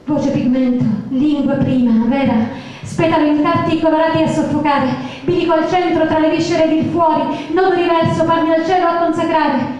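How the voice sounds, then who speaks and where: High-voiced woman reciting poetry in heavy echo. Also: fairly even intonation, normal speed, darkish tone. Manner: incantatory, rhythmic, insistent repetition. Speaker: poet performer. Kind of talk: dramatic spoken-word poetry recital. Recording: very echoey, reverberant sound.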